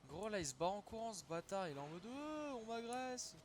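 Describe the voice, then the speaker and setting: A man's voice: high-pitched, very animated, a little fast, slight background noise. A young man streaming a game, chatting casually and swearing freely in slangy talk. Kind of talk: casual gaming chat.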